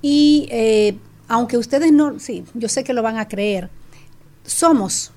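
A middle-aged Dominican woman with a Dominican Spanish accent, telling her story as a guest in an animated, casual way with frequent restarts. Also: fairly high pitch, takes it slowly. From Dominican Republic. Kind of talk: casual chat.

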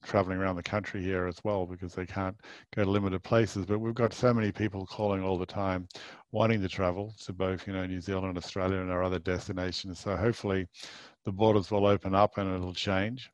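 A man's voice: deep voice, flat delivery, brisk, no room sound. A man hosting a travel podcast, asking friendly conversational questions in an interview over a call. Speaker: podcast host, travel agent.

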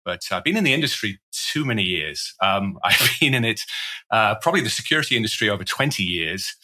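A middle-aged Welsh man with a fairly low voice and a bright, forceful edge. He talks casually as a podcast guest and trails off into self-deprecating asides. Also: big pitch swings, fairly quick. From Wales. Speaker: guest, cybersecurity evangelist.

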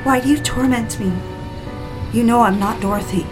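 A woman's voice: fairly high pitch, normal speed, close to an everyday voice, poor audio. A woman playing a tormented, pleading wife haunted by voices in an audio drama. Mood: distressed. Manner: anxious, pleading, repeats herself. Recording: music under the voice.

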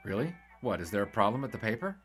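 A man's voice: lowish voice, sing-song, quick pace, a bit bright, breathy edge, faint music behind, full character voice. An American man playing a wisecracking, flirtatious 1920s newspaper sportswriter in a period audio drama. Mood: needling. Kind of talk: 1920s period radio-style acting.

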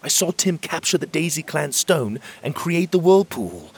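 A man voicing an uneasy young fairy hero, earnest, raised pitch, husky. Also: sing-song, moderate pace, full character voice. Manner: earnest, uneasy, at times whispered.

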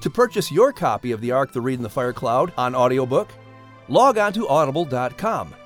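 A man's voice: mid-range voice, very animated, fairly quick, faint music behind. A man narrating an audiobook and announcing a podcast, in a storytelling announcer style.

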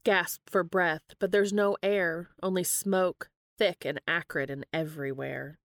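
A woman's voice: on the low side, steady tone, measured pace, no room sound, studio quality. A woman hosting and reading fan fiction aloud as scripted narration. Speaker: podcast host and reader.

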